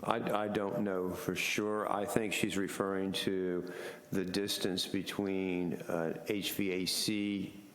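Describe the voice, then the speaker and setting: Deep slow hesitant man. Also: little pitch movement. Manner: hesitant, careful. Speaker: city staff member. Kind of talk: answering at a hearing. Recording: echoey hall from council mic.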